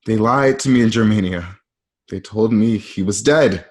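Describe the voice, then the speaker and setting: A man playing a vexed, insecure young Roman emperor in an amateur radio drama, theatrical and halting. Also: on the low side, lightly acted. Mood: wounded, agitated.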